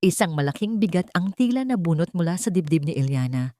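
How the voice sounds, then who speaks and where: Low-voiced Filipino woman narrating drama. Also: animated, a little fast, mellow, no echo, studio quality. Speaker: story narrator.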